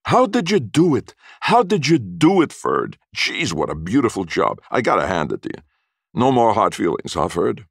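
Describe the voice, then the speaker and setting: A man's voice: low-pitched, sing-song, moderate pace, creaky, a bit bright, no room sound, clean studio sound, heavily acted. A man voicing a brash, eager, girl-crazy male shop partner in slangy exclamations for an audiobook. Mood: amazed, friendly. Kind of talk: audiobook character voice.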